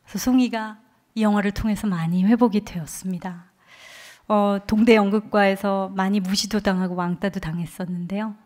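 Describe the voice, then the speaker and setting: A woman's voice: mid-range voice, brisk. Woman giving an earnest church testimony. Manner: earnest storytelling, quoting others with lively emotion. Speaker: guest speaker at a church revival. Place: church sanctuary.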